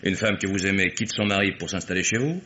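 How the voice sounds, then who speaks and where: An actor playing a blunt, sardonic private detective who argues insistently in a radio mystery play. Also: male voice, sits low, quick pace, sharp tone, a little hiss or hum. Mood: sardonic, pressing.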